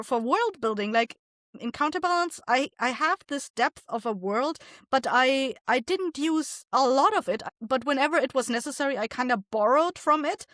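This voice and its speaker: A woman speaking as an interview guest, explaining things informally and a little haltingly as she thinks. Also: sits high, a bit bright, no echo, studio quality. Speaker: podcast guest, audio drama writer, director and voice actor. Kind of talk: casual interview conversation.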